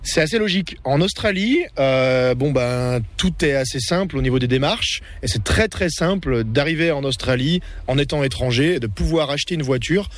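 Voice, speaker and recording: A man with a mid-range voice speaks as an interview guest. He explains in a measured, reflective manner and builds his points step by step. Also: mid-range voice, animated, dry recording.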